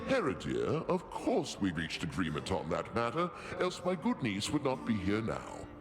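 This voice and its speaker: A man's voice: lowish voice, wildly swooping pitch, moderate pace, over music. A man playing a commanding king of the gods in a fantasy game, pompous, lordly and formal in manner. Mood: lordly, smooth.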